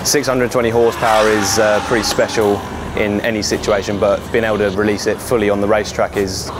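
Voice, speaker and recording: A man's voice: fairly low pitch, little pitch movement, brisk, heavy background noise. A man in his twenties from the United Kingdom, a racing driver speaking composedly in an interview. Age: 20s.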